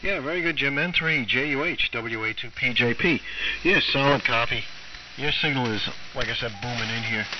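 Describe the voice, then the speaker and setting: An American man, an amateur radio operator, chatting casually over an AM shortwave link, halting and often repeating himself. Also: medium pitch, recorded from a distance. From United States. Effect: AM shortwave radio transmission. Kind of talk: casual on-air radio chat. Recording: muffled AM radio, loud static and whistles. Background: loud radio static and tones.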